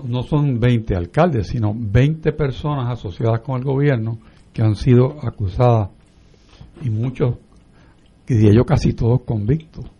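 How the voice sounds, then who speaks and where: A man with a Puerto Rican accent hosting political talk radio, laying out his points in a deliberate, measured way. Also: medium pitch, creaky, dark tone, slightly rough, dull audio. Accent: Puerto Rican Spanish.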